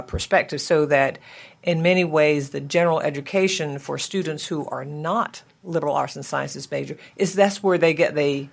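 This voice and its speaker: Higher-pitched, gravelly-voiced man; explanatory chancellor. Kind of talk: formal meeting remarks.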